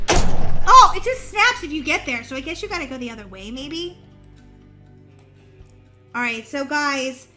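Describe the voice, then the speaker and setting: A woman hosting a gaming video, giving excited, playful and dramatic gameplay commentary for young viewers. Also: a little high.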